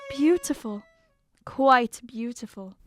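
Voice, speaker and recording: A woman playing a loyal, nostalgic older gentleman in an audio drama, with genteel, old-fashioned phrasing. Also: fairly high pitch, slow, over music. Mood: wistful. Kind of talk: audio drama acting with first-person narration.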